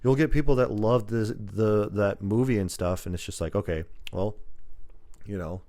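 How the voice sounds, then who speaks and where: Low-voiced man, casual podcast host. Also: normal speed, warm tone.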